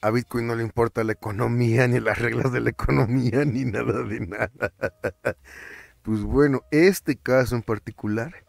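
A young Mexican man with a Mexican accent, talking casually on his finance vlog, animated and often stumbling over and repeating words. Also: mid-range voice, normal speed, gravelly, no room sound. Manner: animated, chatty, stumbles and repeats words, slips in English terms. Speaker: finance vlogger. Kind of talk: casual commentary over screen captures.

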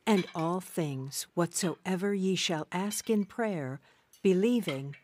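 An actress playing a caring, devout middle-aged mother in an audio drama, gentle and earnest. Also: on the low side, takes it slowly, slight background noise.

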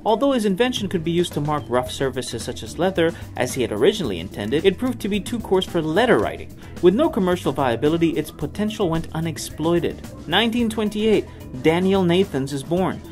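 A man's voice: on the high side, big pitch swings, a little fast, a little mellow. A man presenting a tech history series in scripted narration. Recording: music behind the voice. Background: background music.

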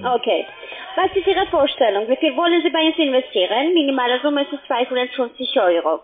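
A woman making a scripted telesales call, with halting, non-native phrasing. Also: high-pitched, expressive. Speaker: telesales caller. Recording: thin phone line.

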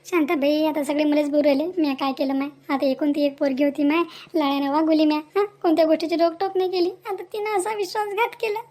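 A woman's voice: high-pitched, fairly even intonation, fast, mellow, close to an everyday voice. A woman voicing a worried, folksy village woman in a cartoon family drama, with a Varhadi Marathi accent and a plaintive manner. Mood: distraught, lamenting.

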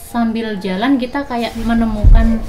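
A woman's voice: on the high side, fairly even intonation, unhurried, a loud crowd. A woman in her thirties with a Javanese accent chatting as a guest in a home living room, laughing easily and speaking into a handheld mic. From East Java, Indonesia. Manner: chatty, laughs easily, switches into formal English announcing. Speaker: flight attendant guest. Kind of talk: casual chat.

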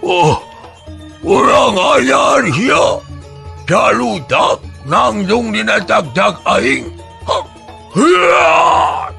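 A man voicing a huge, crude, furious ogre in a folk tale, shouting threats. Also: high voice, expressive, extremely slow, gravelly, faint music behind, pitched above the performer's own voice, heavily acted. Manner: shouting, threatening, explosive cries.

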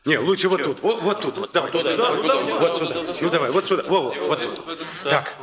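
An actor playing a brisk, streetwise young man in a radio play, commanding, colloquial and repeating his words. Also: fairly high pitch, lively intonation, a loud crowd, close to an everyday voice. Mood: bustling, bossy. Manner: colloquial, rapid repetitions, commanding. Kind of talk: Soviet radio play acting.

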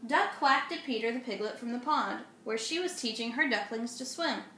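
A woman's voice: a little high, lively intonation, moderate pace, a bit bright. A young adult woman reading and explaining for children, often asking the listener questions. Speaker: storyteller and craft presenter. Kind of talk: children's read-aloud and simple instruction. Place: indoors, home dining room. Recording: roomy, noisy home phone recording.

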